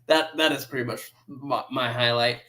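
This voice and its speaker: A man's voice: medium pitch, steady tone, normal speed, bright, forceful tone, slight background noise. A man chatting casually as a review panelist, with a playful way of reacting. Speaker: audio drama review panelist.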